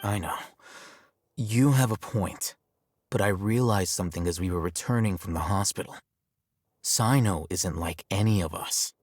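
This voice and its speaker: Aloof, low-voiced young scholar. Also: male voice, no room sound. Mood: coolly logical. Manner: measured, detached, matter-of-fact. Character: aloof, coolly logical young male scholar. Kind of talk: video game character dialogue.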